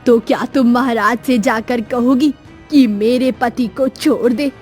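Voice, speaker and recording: A woman's voice: a little high, fairly even intonation, unhurried, a little mellow, soft background music, lightly acted. A woman voicing a weary, careworn farmer's wife in a cartoon moral story. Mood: weary, hopeful.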